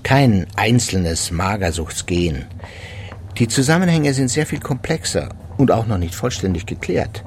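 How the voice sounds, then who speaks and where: An actor playing a middle-aged psychiatrist in a German radio drama, explaining in a measured, clinical, matter-of-fact way. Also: male voice, lowish voice, expressive, a little hiss or hum. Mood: explanatory, composed.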